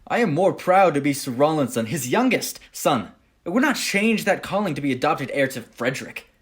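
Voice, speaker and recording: A man's voice: a little high, sing-song, moderate pace, breathy edge. A man playing an earnest, proud young gallant in a Shakespearean radio play, speaking formally and respectfully.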